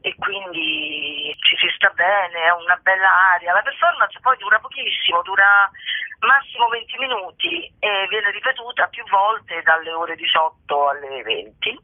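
A woman's voice: mid-range voice, moderate pace, no echo. A woman chatting casually as an interview guest, hesitant and often searching for her words. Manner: hesitant, searching for words, repeating phrase starts. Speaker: guest, actress and co-author. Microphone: telephone. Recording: tinny narrowband telephone line.

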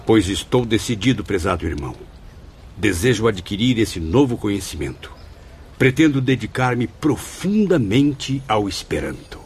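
A man's voice: fairly low pitch, very animated, moderate pace, slight background noise. A man playing an earnest, grateful spirit student in a radio drama, speaking formally and reverently. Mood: earnest, resolved. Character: Camilo, earnest, grateful male spirit student recounting his afterlife. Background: background music.

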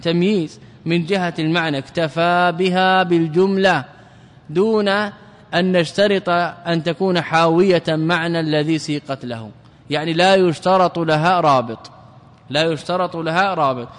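A man teaching an Arabic grammar lesson as a religious scholar. He questions his students, answers himself, and chants verse lines slowly. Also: fairly high pitch, big-room sound.

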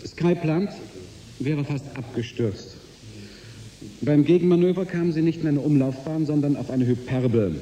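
A blunt executive played by an actor in a radio play, laying out grave news in a businesslike way. His male voice is very dark and soft-edged, in an echoey room. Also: a little high, normal speed, close to an everyday voice. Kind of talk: radio drama acting.

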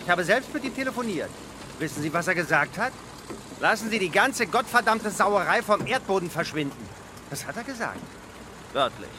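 A cold assistant detective in a radio drama, smug and formal. His voice is high for a man. Clear street and vehicle noise surrounds him. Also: big pitch swings, normal speed. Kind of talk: German radio drama acting.

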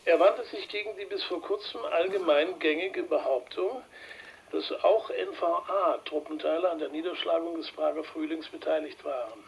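An actor playing an evasive investigative journalist in a radio crime drama, speaking hesitantly and precisely in German. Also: male voice, fairly high pitch, normal speed, creaky, breathy edge, highs cut off, full character voice. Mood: explanatory, composed. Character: evasive male investigative journalist giving testimony.

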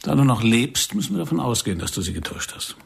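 An actor in a radio drama playing a controlled, brooding secret-service handler who speaks in a measured, grave way. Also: male voice, mid-range voice, sing-song, vocal fry, husky, exaggerated voice. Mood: serious briefing.

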